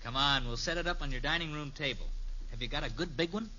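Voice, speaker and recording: A man's voice: a little high, quick pace, natural voice. A man playing a friendly, boyish college student babysitter in a 1950s radio sitcom, chummy and eager. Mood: friendly, breezy. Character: friendly, breezy college boy working as babysitter. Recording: noisy, muffled old radio recording.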